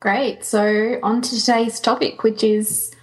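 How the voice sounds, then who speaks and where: A woman's voice: fairly high pitch, level tone, slow pace. A woman co-hosting a podcast with casual, playful, teasing talk. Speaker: podcast co-host.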